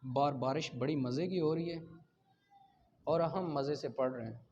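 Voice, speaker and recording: A man's voice: on the high side, normal speed, dark tone, slight background noise. A man with a Pakistani accent teaching in an explanatory lecture style, posing rhetorical questions and checking that students follow. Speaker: law teacher. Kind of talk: explanatory lecture, reading statutes then explaining. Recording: dull lo-fi recording.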